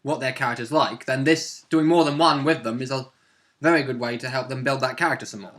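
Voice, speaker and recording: A man's voice: medium pitch, fairly quick, fairly bright tone. A man chatting casually as a co-presenter and player alongside a host. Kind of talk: casual chat.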